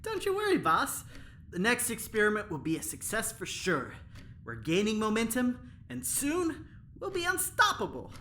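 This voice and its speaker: Very animated, eager lab assistant. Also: female voice, fairly high pitch, moderate pace, a little hiss or hum, heavily acted. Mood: eager, reassuring. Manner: hesitant, chirpy, apologetic. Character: eager, awkward, loyal junior scientist assistant. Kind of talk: audio drama acting.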